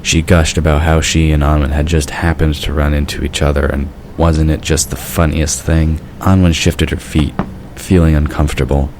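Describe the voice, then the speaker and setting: Very deep-voiced male story narrator. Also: level tone, moderate pace, background noise.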